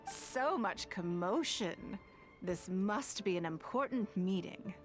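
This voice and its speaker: A woman playing a coolly shrewd, commanding noblewoman official in a video game, speaking in a measured, formal, authoritative way. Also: medium pitch, animated, soft background music. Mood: brisk, suspicious.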